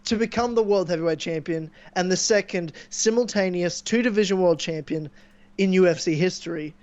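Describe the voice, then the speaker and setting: A young man hosting a casual podcast, chatting and rambling excitedly with a sore throat from screaming. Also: high-pitched, brisk, smooth, no room sound. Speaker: podcast host. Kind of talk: casual chat. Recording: dull lo-fi mic.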